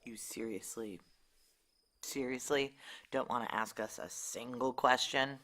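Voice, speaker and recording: Casting: hard-bitten woman fugitive, very low bright voice, sarcastic, suspicious, audio drama. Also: unhurried. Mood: tired, resigned. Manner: blunt, sarcastic, profane. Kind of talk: naturalistic audio drama dialogue.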